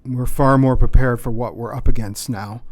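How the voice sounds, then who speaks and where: An actor playing a haunted, grieving and loyal Victorian gentleman in a radio drama, sombre and halting. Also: medium pitch, fairly even intonation, natural voice.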